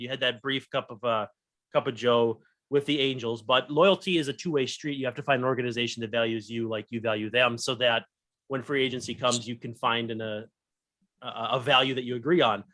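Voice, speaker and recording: A man's voice: medium pitch, fairly bright tone. A man hosting a podcast, interviewing conversationally with long, considered lead-ins to his questions.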